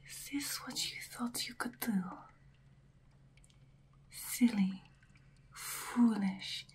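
Woman playing a slow whispering vampire bride. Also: mid-range voice, a little mellow, a little hiss or hum, close to an everyday voice. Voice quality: breathy whisper. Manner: slow, deliberate, taunting, condescending. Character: centuries-old female vampire bride, haughty, menacing, mocking. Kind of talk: ASMR roleplay, whispered.

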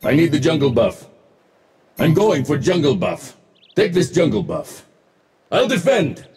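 Cocky high-energy mech-suit warrior. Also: male voice, sing-song, unhurried, a crowd in the background. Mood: confident. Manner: boastful, punchy, clipped callouts. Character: cocky male futuristic mech-suit warrior, smug and wisecracking. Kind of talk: video game voice pack barks.

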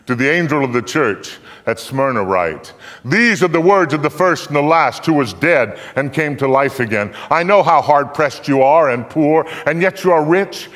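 A man's voice: fairly high pitch, fairly bright tone. Older man declaiming in a reverberant hall. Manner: solemn, declamatory, with drawn-out emphatic phrases. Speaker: dramatic reader on stage. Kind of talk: live dramatic scripture recitation. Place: theater stage, indoors. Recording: echoey hall with long reverb.

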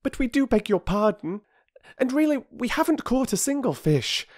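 A man's voice: very high voice, sing-song, moderate pace, no echo, clean studio sound, voice pushed up, full character voice. A man voicing an earnest, polite young girl who pleads breathlessly. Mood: pleading.

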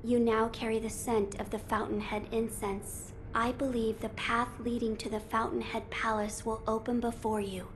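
A woman voicing a gentle, solemn young boy lord in a video game, speaking in a measured, formal, earnest way. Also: on the high side, little pitch movement, slight background noise, natural voice. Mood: solemn, resolute. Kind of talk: scripted video game dialogue.

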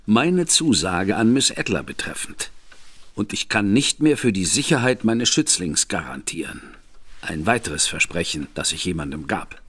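A grave, guarded gentleman. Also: low-pitched, very animated, slightly rough, full character voice. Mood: grave, earnest. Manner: measured, formal and earnest. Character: grave, guarded detective's brother, reserved gentleman. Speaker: Mycroft Holmes. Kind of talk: radio play acting.

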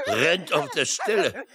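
An actor playing a blustering, excitable seafaring uncle in a German children's radio play, with exclamatory sailor talk. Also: male voice, high voice, full character voice. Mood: grim, sardonic.

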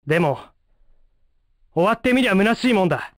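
An actor playing a brash, cocky young male fighter in a Japanese fighting game, speaking in rough, breezy slang. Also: sits high, animated, normal speed, a bit bright, no room sound, clean studio sound. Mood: world-weary, frank.

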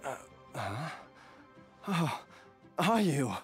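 A grateful, apologetic father still weak from illness for a video game. He talks very slowly and haltingly, his pitch moving a lot, over quiet music. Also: very animated, exaggerated voice. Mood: dazed, apologetic. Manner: hesitant, halting.

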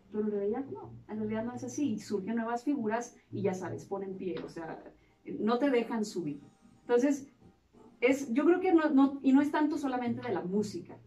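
A woman's voice: medium pitch, warm tone, recorded from a distance. A woman in her thirties with a Mexican accent, a singer talking frankly in a casual radio interview, with plenty of fillers. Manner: chatty, frank, lots of fillers like "este". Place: small radio studio. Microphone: headphones and boom mic. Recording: echoey studio, off mic.

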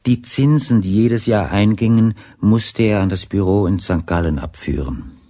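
A man narrating a radio drama in a measured, terse manner, with a husky voice. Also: fairly low pitch, warm tone, no echo, studio quality, natural voice.

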